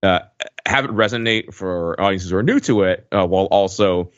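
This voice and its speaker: A man's voice: low voice, moderate pace, dry recording, lo-fi sound. A man co-hosting a podcast, talking casually and analytically about pop culture, with lots of "like" and "right" in his phrasing. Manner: hedging, filler-heavy phrasing with frequent "like" and "right". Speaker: podcast co-host.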